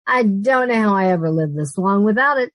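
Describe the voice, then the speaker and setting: Woman playing dry, lively, wisecracking roommate. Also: medium pitch, brisk, no room sound, close to an everyday voice. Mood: dry sarcasm. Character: wry, sarcastic, unimpressed female roommate. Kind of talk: 1940s radio sitcom acting.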